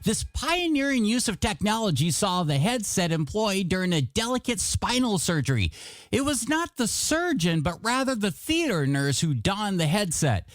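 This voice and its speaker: A man's voice: high-pitched, sing-song, moderate pace, dry recording. A man hosting a radio show as a DJ, with showy, playful announcer patter and music playing behind him. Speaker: radio DJ host. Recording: music bed under the voice.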